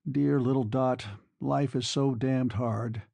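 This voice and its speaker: A narrator acts out a disillusioned young male soldier in a literary story. His voice is mellow and creaky, brooding and wistful, the sound of a weary, self-absorbed sentimental lover. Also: medium pitch, steady tone, slow, dry recording. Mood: weary, pitying.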